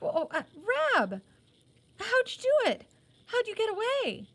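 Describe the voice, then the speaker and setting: An eager teenage apprentice boy from a storybook, acted out by a woman reader. The voice jumps up high and exclaims with excitable energy. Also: takes it slowly, heavily acted. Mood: excited, amazed.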